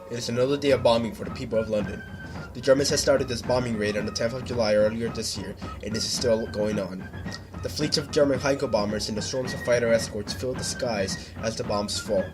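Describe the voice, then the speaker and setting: A man narrates a scripted newsreel in a 1940s style. His pitch is mid-range for a man, and faint music plays behind him. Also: rough recording.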